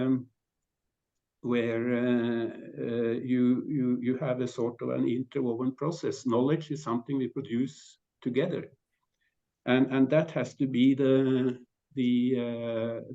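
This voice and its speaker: A man with a Norwegian accent giving a conference presentation, speaking haltingly with repeated words and frequent throat clearing. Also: medium pitch, dark tone, muffled recording. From Norway.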